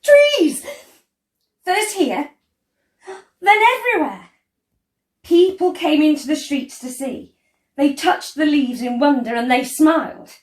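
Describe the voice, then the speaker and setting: Slow, deliberate woman storyteller in an echoey room. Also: sits high, expressive, darkish tone. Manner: measured, deliberate, with dramatic emphasis and pauses. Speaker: storyteller reading a picture book. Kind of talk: expressive dramatic story reading. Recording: roomy, echoey indoor sound.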